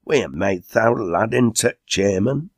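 A man voicing a boisterous, hearty working man with a Lancashire accent, loud and exclamatory, in a storybook reading. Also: on the low side, lively intonation, normal speed, no echo, clean studio sound, pitched above the performer's own voice. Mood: bossy, jovial. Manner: loud, exclamatory cheering and urging.

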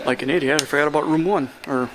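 A man's voice: on the high side, fast talker. A man with an Upper Midwest American accent chatting casually and laughing often while walking around a crowded expo hall, talking into a handheld camera. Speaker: vlogger at a reptile expo. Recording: loud crowd noise in hall.

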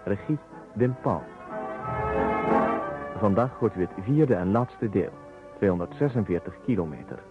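A man's voice: lowish voice, lively intonation, a little slow, pauses often, music in the background. A man announcing a radio play in a formal, scripted style. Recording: muffled, narrow-band vintage radio recording.

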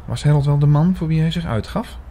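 A man playing a puzzled, suspicious investigator who narrates his story in a measured, reflective way in an audio drama. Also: fairly high pitch, dark tone, slight background noise, close to an everyday voice. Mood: reflective, doubtful. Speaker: narrator and lead actor.

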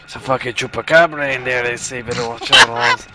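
A man's voice: mid-range voice, a little fast, heavy background noise, lightly acted. A young man gaming who puts on the strained voice of a swaggering, tough-talking street gangster, tagging his lines with slang. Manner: strained put-on voice, repeated street-slang tags. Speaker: gamer. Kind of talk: gameplay commentary in a put-on character voice.

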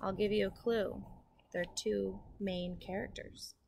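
A young woman reading a picture book aloud to children outdoors, speaking deliberately and asking the listeners questions. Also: mid-range voice, steady tone, vocal fry. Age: young adult. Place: outside in front of a house. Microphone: camera at a few feet. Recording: outdoor ambient noise.

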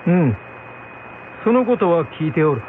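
Man voicing a high-pitched, lordly young shogun. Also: very animated, normal speed. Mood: lordly, curious. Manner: lordly, questioning, archaic speech. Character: curious, commanding young male shogun. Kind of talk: radio drama folk tale. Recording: thin phone-like band with hum.

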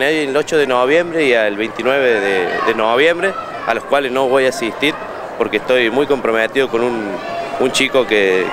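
Argentine man, thirties, gym owner, halting repeats, reporter's handheld mic, noisy hall. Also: mid-range voice. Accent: Argentine Spanish. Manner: talks with many repeated words and restarts. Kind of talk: on-camera interview at a trade fair stand. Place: indoor expo hall stand.

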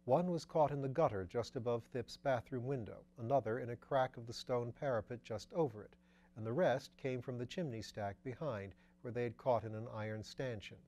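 A man voicing a practical police detective in a plain, matter-of-fact way, slightly lower than his own voice. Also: normal speed, mellow, close to an everyday voice.

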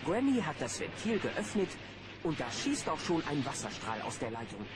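A man narrating a scripted children's audio play as a lively storyteller. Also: a little high, normal speed, background noise.

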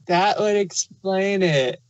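A panelist talks in a relaxed, conversational style on a review podcast. The voice sits at a middle pitch, recorded very dry with no room sound. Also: takes it slowly, no room sound, lo-fi sound. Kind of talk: casual chat.

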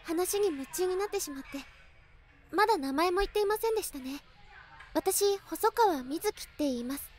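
An actress playing a shy, polite high school girl in an audio drama, speaking hesitantly. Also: animated, normal speed, a little mellow. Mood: polite, apologetic.

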